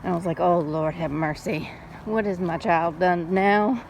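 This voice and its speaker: A middle-aged woman chatting casually in an unhurried, folksy way, like a mom telling stories while out on a walk. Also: on the low side, poor audio. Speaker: storytelling vlogger and mother. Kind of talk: casual rambling chat. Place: outdoors, walking. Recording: steady background noise, outdoors on a walk.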